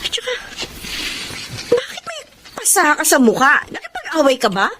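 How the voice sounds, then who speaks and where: A noisy radio drama track carries a dutiful young eldest daughter. Her very high voice moves animatedly, earnest and reproachful in manner. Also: huge pitch swings, normal speed. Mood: concerned. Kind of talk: Tagalog radio drama acting.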